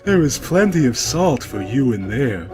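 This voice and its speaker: A brash, menacing cartoon tough-guy cat, performed by a man. He delivers stilted, pompous boasts with animated intonation over clear background music. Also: mid-range voice, measured pace, voice pushed up. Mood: smug quipping. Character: brash, macho male cartoon cat warrior, coolly menacing. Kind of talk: comic dramatic reading for web animation.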